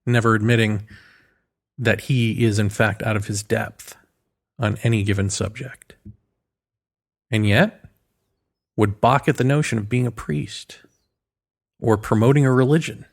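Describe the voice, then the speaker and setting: A man's voice: on the low side, fairly even intonation, measured pace, gravelly, pauses often. A man delivering an opinionated philosophical monologue in a sardonic way, leaning on rhetorical questions and emphatic repetition. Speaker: commentator delivering a solo monologue.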